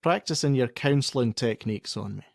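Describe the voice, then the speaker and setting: A prickly Scottish father in middle age in a radio play, blunt and quick to argue. His sarcasm is stern, in an ordinary mid-pitched voice recorded dry. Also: expressive, vocal fry, no room sound. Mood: wry, guarded. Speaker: actor.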